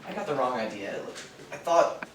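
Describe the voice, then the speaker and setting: A man's voice: medium pitch, lively intonation, moderate pace, fairly bright tone, natural voice. A man in a stage play, playing an awkward, insecure young scriptwriter. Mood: defensive, hurt. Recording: echoey distant stage recording, faint noise.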